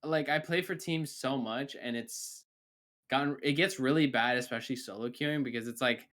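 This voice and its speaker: A man's voice: mid-range voice, moderate pace, a bit bright. A young man from Canada co-hosting a casual gaming podcast, rambling with lots of fillers and restarts. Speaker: podcast co-host.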